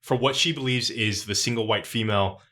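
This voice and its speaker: A man's voice: on the low side, brisk, bright, forceful tone. A man hosting a casual book club discussion, talking through a story thoughtfully. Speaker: podcast host.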